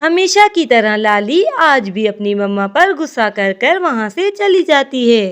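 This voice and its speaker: A woman narrating a children's animated bird story in an expressive storytelling style. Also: high voice, a little slow, clear, resonant tone.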